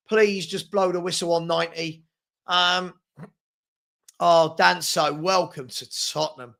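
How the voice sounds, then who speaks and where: Male watchalong host, British, high gravelly voice going hoarse. Exasperated, emphatic football commentary that hammers phrases by repetition. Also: fairly bright tone. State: hoarse, losing his voice. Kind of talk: live fan commentary, reading viewer comments.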